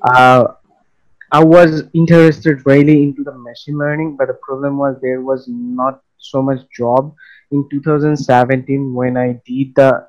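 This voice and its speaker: A man's voice: mid-range voice, level tone, measured pace, rough recording. A young adult man with a Bangladeshi accent, answering casually as an interview guest. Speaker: interview guest, freelance web developer.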